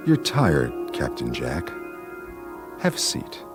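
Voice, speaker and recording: An actor as the measured, atmospheric storyteller of a scripted radio drama. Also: male voice, very deep voice, huge pitch swings, music in the background, heavily acted. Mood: slow, atmospheric. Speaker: narrator.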